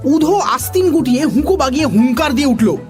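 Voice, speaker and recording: Children's story narrator, high-pitched and very animated, dramatic delivery over light music. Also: quick pace. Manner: expressive, animated.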